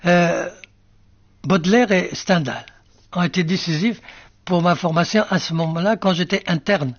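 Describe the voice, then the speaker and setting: An older male writer whose first language is Arabic, musing slowly in an interview with many fillers and restarts. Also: high voice, no room sound, dull audio. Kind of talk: reflective interview answers.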